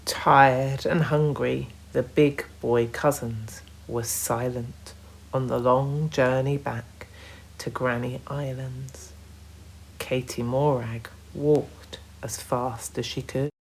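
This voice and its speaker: A woman narrating a children's storybook in a deliberate, expressive way, as a storyteller to young listeners. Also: very deep voice, slightly rough. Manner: deliberate, expressive, hushed at times.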